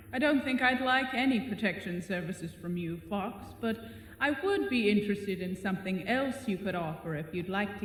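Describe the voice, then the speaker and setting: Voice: medium pitch, fairly bright tone, close to an everyday voice. Casting: actor to play bored male giant spider, genteel and dry, audio drama, heavy cave echo. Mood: coolly bargaining. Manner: polite, wry, measured phrasing. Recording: echoey cavern-like reverb, faint noise.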